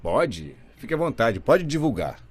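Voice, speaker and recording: A man voicing a deaf guest's signing in the first person, telling his story in a lively, expressive way. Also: medium pitch, measured pace, husky. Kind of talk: simultaneous voice interpreting, first person.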